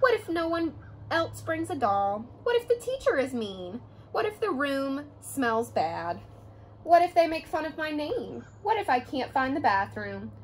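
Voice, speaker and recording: A woman's voice: sits high, very animated, unhurried, a little hiss or hum. A woman voicing an anxious little mouse girl, asking fretful questions.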